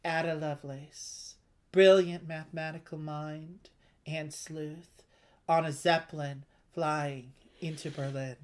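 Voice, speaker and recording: Unhurried board game co-host, medium pitch. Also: steady tone. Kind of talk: casual game commentary.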